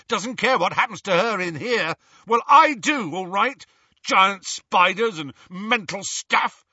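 A man voicing a resentful teenage boy wizard who shouts and sneers bitterly. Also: sits high, slightly rough, no room sound, lo-fi sound, pitched above the performer's own voice, exaggerated voice.

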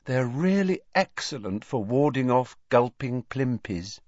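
A man's voice: medium pitch, sing-song, a little slow, darkish tone, dry recording, lo-fi sound, voice pushed up. A man voicing a dreamy, guileless, eccentric schoolgirl in an audiobook, airy and matter-of-fact. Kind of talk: audiobook character voice.

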